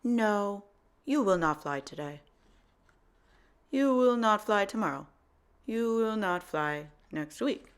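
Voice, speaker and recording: A woman voicing a skeptical, blunt animal friend in a children's picture book, with a flat, firm manner. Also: mid-range voice, takes it slowly, long pauses, smooth.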